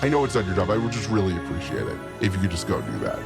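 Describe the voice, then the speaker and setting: A man's voice: low-pitched, lively intonation, rapid-fire, vocal fry, pitched below the performer's own voice. A man playing a petulant, self-righteous undead lich in a tabletop game, talking in a whiny, sarcastic, modern slangy way. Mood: whiny, passive-aggressive. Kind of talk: improvised tabletop game roleplay. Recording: music bed behind the voice.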